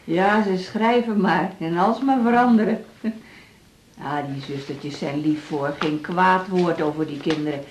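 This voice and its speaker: A woman's voice: fairly low pitch, unhurried, a little hiss or hum, close to an everyday voice. An actress playing a lonely, plain-spoken older woman who is a hospital patient in a radio drama. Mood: wary, curious. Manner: plain-spoken, wheedling, folksy. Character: chatty, lonely, frail but cheeky older female hospital patient. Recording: roomy, echoing radio drama studio.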